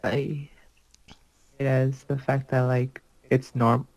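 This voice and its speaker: A man's voice: mid-range voice, flat delivery, slow, gravelly, darkish tone, no echo. A man chatting casually in an earnest, reassuring manner. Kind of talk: casual chat.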